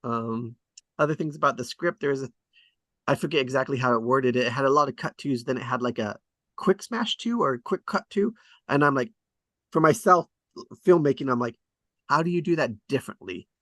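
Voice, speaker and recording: A man's voice: mid-range voice, lively intonation, fairly quick, pushed and bright, no room sound, studio quality. A man hosting a table read, chatting casually and hesitantly about scriptwriting as he thinks aloud. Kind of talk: casual rambling discussion of screenwriting.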